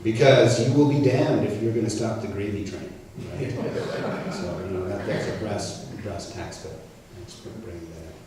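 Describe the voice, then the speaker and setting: A man's voice: on the low side, normal speed, few pauses, over a noisy crowd. A man with a Canadian accent speaking as a guest, answering audience questions in a conversational, explanatory way with hesitant starts. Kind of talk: answering audience questions after a public talk. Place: church hall. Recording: very echoey hall, distant mic, faint noise.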